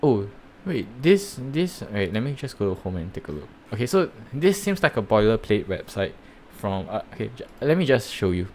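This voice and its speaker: A man with a Singaporean accent giving rambling, halting commentary while live-streaming reviews of projects. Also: lowish voice, sing-song, a little mellow, a little hiss or hum. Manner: rambling, halting, many fillers and restarts.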